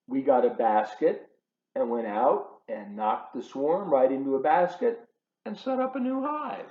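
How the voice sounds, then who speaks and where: Male volunteer storyteller for children, mid-pitched, emphatic and teacherly, with chatty asides; roomy, slightly dull recording. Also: lively intonation, measured pace.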